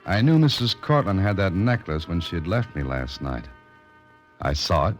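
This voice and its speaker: A man's voice: sits low, lively intonation, moderate pace. An American actor playing a tough, wry amateur sleuth who narrates his own case in a clipped 1940s radio mystery style. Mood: wry narration. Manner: clipped, wry, hard-boiled. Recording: old radio recording with music.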